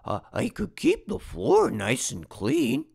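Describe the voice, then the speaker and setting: Man playing an eager, pleading, wildly expressive stray puppy. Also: on the high side, slow, creaky, slightly rough, pitched above the performer's own voice, exaggerated voice. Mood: hopeful. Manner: hesitant, stammering, expressive. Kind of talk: storybook character read-aloud.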